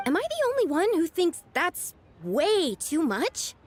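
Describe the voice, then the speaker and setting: A woman's voice: extremely high pitch, huge pitch swings, a little slow, loud music behind the voice, heavily acted. A woman voicing an earnest, polite young scholar in a video game, sincere and a little timid. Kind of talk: English video game voice acting.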